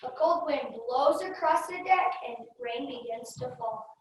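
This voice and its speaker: Woman narrator for a readers theater script, higher register, recorded far from the mic in heavy echo. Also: lively intonation, measured pace. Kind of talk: scripted read-aloud narration with acted dialogue. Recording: echoey room, distant mic, faint noise.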